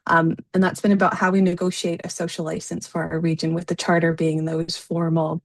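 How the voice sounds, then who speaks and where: Fluent woman researcher with gravelly edge. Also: lowish voice, completely flat delivery, a little fast, no echo. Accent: non-Liverpool English. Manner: clear, organised, fluent. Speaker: research fellow. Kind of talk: webinar panel speaking. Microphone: video call.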